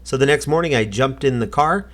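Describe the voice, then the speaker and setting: A man's voice: mid-range voice, brisk. A middle-aged American man hosting a vlog, talking in a casual narrating and chatting style. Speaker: vlog host.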